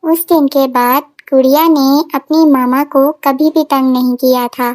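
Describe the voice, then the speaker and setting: A woman tells a scripted story for children. Her pitch is high and her phrasing simple, in a dry recording without room sound. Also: steady tone, unhurried, a little mellow, no room sound.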